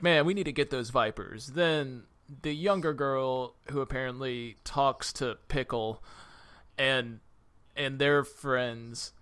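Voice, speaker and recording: A man's voice: medium pitch, a bit bright, smooth. A man co-hosting a casual movie podcast, laughing a lot and acting out lines from the film. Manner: laughs often, acts out film lines in quick voices. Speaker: podcast co-host. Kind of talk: casual movie review chat.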